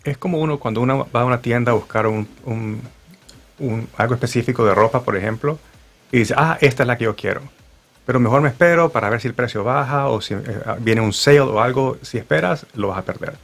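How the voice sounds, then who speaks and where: A man's voice: mid-range voice, quick pace. A man talking as a real estate agent on a radio morning show, with many fillers and restarts. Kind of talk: radio talk show guest. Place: radio studio.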